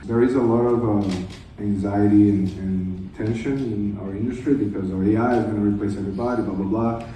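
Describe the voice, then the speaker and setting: A man who is a native Portuguese speaker, talking informally and haltingly on a conference panel. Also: on the low side, steady tone, dark tone, slight background noise. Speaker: conference panelist, translation technology professional. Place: indoor conference room. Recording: echoey conference room, distant mic.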